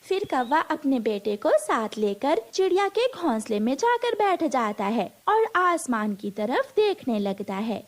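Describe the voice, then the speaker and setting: A woman narrating a cartoon story for children in a gentle storytelling way. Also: high voice.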